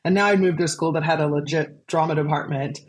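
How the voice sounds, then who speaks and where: A woman from Arkansas with a low speaking voice, telling stories as an interview guest, chatty and laughing often. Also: fairly even intonation, quick pace. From Arkansas, USA. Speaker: guest composer. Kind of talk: casual conversational storytelling.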